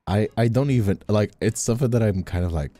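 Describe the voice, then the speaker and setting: A man's voice: fairly low pitch, quick pace, warm tone, faint music behind. A man who streams and voice acts, chatting casually and bluntly, giving opinions and swearing freely. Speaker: streamer and voice actor.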